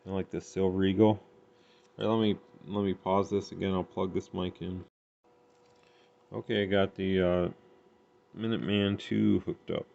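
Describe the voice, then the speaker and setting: A man's voice: deep voice, flat delivery, normal speed, creaky, long pauses, darkish tone. A man giving a casual hands-on gear demonstration, thinking aloud in an unpolished, tentative way. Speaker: CB radio hobbyist reviewing gear. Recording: dull lo-fi home recording, faint noise.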